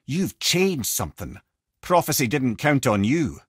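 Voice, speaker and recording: An actor playing a wry old sage in a video game, an eloquent, theatrical storyteller with a Scottish accent. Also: male voice, medium pitch, very animated, unhurried, husky, no room sound, clean studio sound. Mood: wry storytelling.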